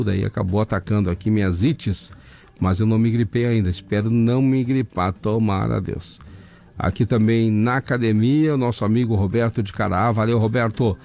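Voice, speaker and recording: A man with a southern Brazilian accent hosting an overnight radio show, hoarse from laryngitis, chatting folksily as he reads out listener messages. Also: fairly low pitch, darkish tone. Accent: southern Brazilian, Rio Grande do Sul. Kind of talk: casual radio chat reading listener messages. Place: radio studio.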